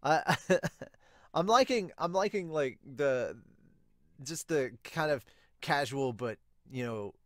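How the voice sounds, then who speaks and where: A man's voice: mid-range voice, lively intonation, takes it slowly, fairly bright tone, no echo. Casual man in his thirties, close dry sound. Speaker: host of a manga review channel. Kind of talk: casual reaction commentary with read-aloud dialogue. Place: small home room indoors.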